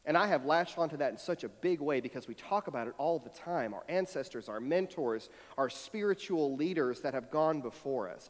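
An American man in his thirties, a pastor giving a conversational, personal sermon with a reflective, storytelling manner at a church pulpit. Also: fairly high pitch. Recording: long hall reverb from church sanctuary.

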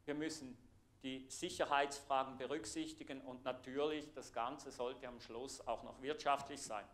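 A man's voice: mid-range voice, fairly even intonation, a bit bright. Middle-aged swiss guy, thurgau accent, explaining stuff at a conference, lots of uhs, clip mic. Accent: Swiss German (Thurgau). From Thurgau, Switzerland. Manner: earnest, explanatory, pausing with fillers. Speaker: IT architect giving a conference talk. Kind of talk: conference presentation. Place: lecture room, standing before a projected slide.

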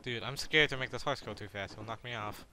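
A man rambling through casual gameplay commentary, cracking self-deprecating jokes. His voice is bright and forceful at middle pitch, with faint noise behind. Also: fast talker. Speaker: let's play gamer.

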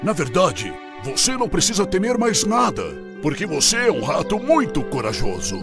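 A man voicing a proud, gruff lion king in a children's cartoon fable, with an imperious, theatrical delivery. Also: sits high, wildly swooping pitch, moderate pace, music in the background, exaggerated voice. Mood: humbled, grateful.

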